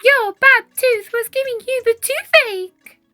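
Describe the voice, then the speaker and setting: A woman voicing a tiny, brave and helpful storybook mouse in a squeaky, eager way. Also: measured pace, bright, forceful tone, dry recording, soft background music, full character voice. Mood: matter-of-fact.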